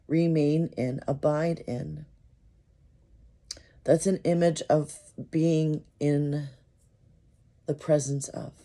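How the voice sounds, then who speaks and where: Slow, hoarse-voiced American pastor. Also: mid-range voice, warm tone. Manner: slow, thoughtful, with fillers. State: losing voice from a cold. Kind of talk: casual sermon reflection.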